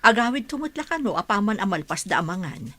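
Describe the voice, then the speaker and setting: A woman's voice: mid-range voice, big pitch swings, fast talker, a bit bright, no echo. A woman acting a wife and mother in an Ilocano radio drama, with a Filipino accent. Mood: concerned. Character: wife and mother in a village household.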